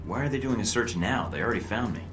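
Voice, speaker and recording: An actor playing a cocky young American undercover agent in a video game, with a dry, flippant manner. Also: male voice, lively intonation, fast talker, lightly acted. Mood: dry, focused. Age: young adult. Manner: dry, flippant, low-key. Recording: roomy sound under clear music.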